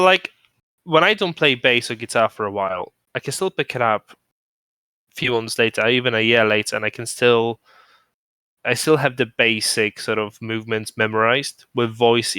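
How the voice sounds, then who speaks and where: A man's voice: mid-range voice, normal speed, sharp tone, clean tone, dry recording. A man chatting casually on a stream, rambling with restarts, who moved to the UK from abroad. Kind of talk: casual chat.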